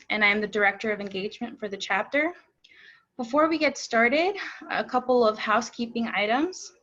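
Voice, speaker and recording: A woman's voice: fairly high pitch, fairly bright tone. A young woman from El Salvador, hosting a webinar over a video call with polite, formal announcements. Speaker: webinar host. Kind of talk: scripted webinar introduction. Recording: slightly dull video call audio.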